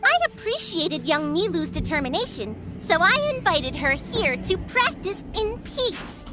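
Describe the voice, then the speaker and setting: A woman's voice: extremely high pitch, big pitch swings, a little slow, loud music behind the voice, full character voice. A woman voicing a small, proud and fierce fairy guardian with haughty, grandiose delivery in a fantasy game fan dub. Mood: proud, formal. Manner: haughty, grandiose, old-fashioned declaiming.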